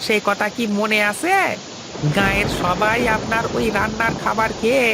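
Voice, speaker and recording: A woman voicing a cheeky, grumbling male household servant in a cartoon, with a creaky voice and a mocking, whiny manner. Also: mid-range voice, fairly even intonation, moderate pace, heavy background noise, natural voice. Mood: teasing. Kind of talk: Bengali cartoon voice acting.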